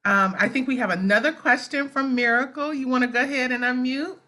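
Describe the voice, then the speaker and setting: A woman's voice: high voice, brisk, pushed and bright. A woman moderating an online discussion, encouraging and inviting as host. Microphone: video call.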